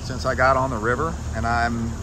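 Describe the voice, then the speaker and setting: Middle-aged American man over loud boat noise. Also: medium pitch, flat delivery, a little fast. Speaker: solo boating vlogger. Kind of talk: casual vlog narration to camera. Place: outdoors on a small open boat on a river.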